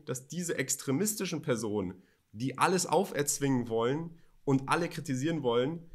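A man, co-hosting a conversational podcast. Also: medium pitch. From Berlin, Germany. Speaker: podcast co-host. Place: home office studio, indoors. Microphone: studio microphone on boom arm, close.

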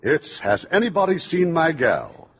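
An American man hosts in scripted 1950s radio style. His husky voice moves up and down a lot, and the old recording is dull. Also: a little high, huge pitch swings, unhurried, a bit bright. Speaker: radio show host.

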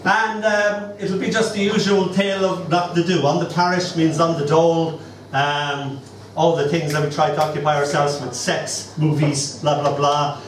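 An older man with an Irish accent performs live as a poet and host. His voice sits high and he speaks in a theatrical, rambling storyteller's way. It is picked up from a distance in a roomy space. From Ireland. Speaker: poet hosting an open mic. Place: small indoor venue stage. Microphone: far camera. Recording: roomy, noisy, distant camera recording.

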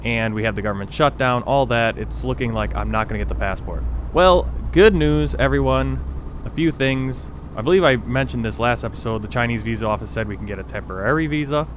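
A man's voice: medium pitch, fairly quick, a little hiss or hum. An American man casually talking over his own truck-driving game footage. He rambles and corrects himself as he goes. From United States. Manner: chatty, rambling, self-correcting. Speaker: gaming video host.

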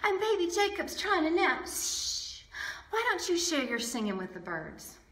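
A woman voicing a tired country mother who hushes her daughter. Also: extremely high pitch, big pitch swings, moderate pace, pitched above the performer's own voice, heavily acted. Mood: weary. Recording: roomy echo.

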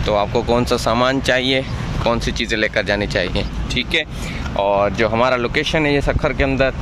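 Young man vlogging in heavy wind. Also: mid-range voice. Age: young adult. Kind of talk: casual outdoor vlog. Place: open riverbank outdoors. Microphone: phone at arm's length. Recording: very noisy windy outdoor phone recording.

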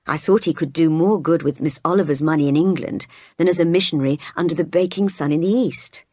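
A narrator reading a classic story aloud in an expressive, scripted storytelling way. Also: mid-range voice, no echo, clean studio sound. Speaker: audiobook narrator.

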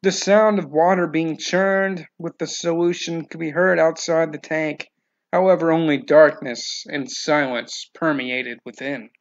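A man's voice: a little high, vocal fry. A man giving scripted narration of a novel, in a slightly dull, lo-fi recording.

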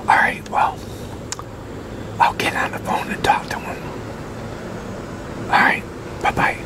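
A man in his sixties in a whispered ASMR roleplay as an easygoing wrestling promoter, talking haltingly as if in a one-sided conversation. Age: 60s. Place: open-sided concrete pavilion outdoors, at a metal picnic table.

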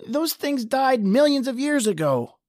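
A woman's voice: high voice, sing-song, measured pace, darkish tone, no echo, studio quality. A woman playing a frightened, injured man hiding in a tunnel, stammering and panicky, in an old-time radio drama. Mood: tense, hushed.

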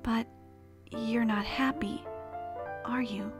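Woman voicing an earnest, high-voiced pony guard captain. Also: steady tone, slow pace, over music. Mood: concerned. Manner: earnest, caring, solemn. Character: devout, protective pony mare, captain of the guard. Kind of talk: animated fan drama acting.

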